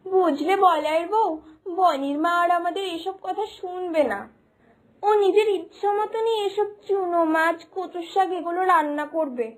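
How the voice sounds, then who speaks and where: A woman's voice: extremely high pitch, moderate pace. A woman voicing a bossy village neighbour who scolds and lectures in a cartoon story. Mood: sarcastic. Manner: scolding, lecturing, mock dramatic. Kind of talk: cartoon voice acting.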